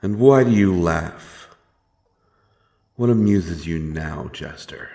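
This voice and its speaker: A man playing a stern, menacing but honourable monster hunter in a dark fantasy audio drama, speaking in solemn, archaic phrases. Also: low voice, takes it slowly, vocal fry, exaggerated voice. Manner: archaic, solemn, threatening speech. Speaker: audio roleplay performer. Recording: music behind the voice.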